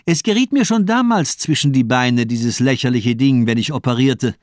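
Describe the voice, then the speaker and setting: An actor as a cold, menacing male doctor in a radio crime play. He speaks at a middle pitch in polished, mocking monologues, elegant and deliberate. The sound is very dry and close. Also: animated, darkish tone, dry recording, natural voice. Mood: coldly amused. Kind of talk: German radio crime drama acting.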